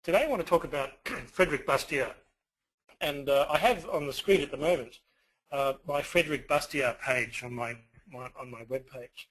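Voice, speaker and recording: A man with an Australian accent giving an online lecture, pausing often with fillers. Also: medium pitch, fairly bright tone, slightly rough, rough recording. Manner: hesitant, many fillers. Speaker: guest lecturer.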